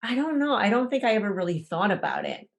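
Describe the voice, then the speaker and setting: A woman's voice: medium pitch, wildly swooping pitch, fast talker. A young woman from Ecuador telling her personal story on a podcast, hesitant, with many fillers and restarts. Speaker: podcast guest.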